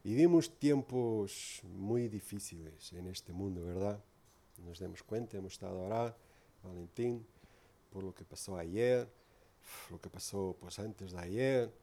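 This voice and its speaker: A man's voice: sits low, a little slow, dark tone. A man from Portugal preaching in Spanish with a Portuguese accent, giving an earnest, informal sermon full of tag questions.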